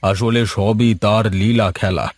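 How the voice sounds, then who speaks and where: A man voicing a weary, resigned elderly villager in a dramatised moral story, with slow, sighing delivery over music. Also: fairly low pitch, steady tone, no echo.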